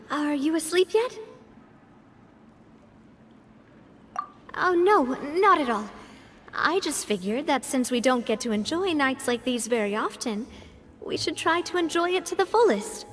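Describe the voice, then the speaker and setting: A young female leader in a video game. Her voice is high, with a cheerful, kindly and teasing manner. Soft music sits faintly behind her. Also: lively intonation, moderate pace. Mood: warm invitation. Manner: friendly, teasing, upbeat.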